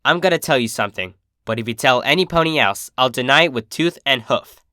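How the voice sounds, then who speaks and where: A man's voice: mid-range voice, expressive, brisk, sharp tone, dry recording, clean studio sound. A man voicing a cocky, protective female pegasus, a big sister with a brash, casual, reassuring manner. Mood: confiding.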